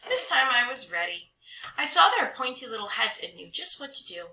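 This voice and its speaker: A woman narrates a children's story with lots of drama. Her voice is very bright and swoops expressively in pitch, and the room adds a clear echo. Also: sing-song, normal speed, slight background noise. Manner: dramatic, with stretched words and hushed drops. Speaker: storybook reader. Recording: roomy echo.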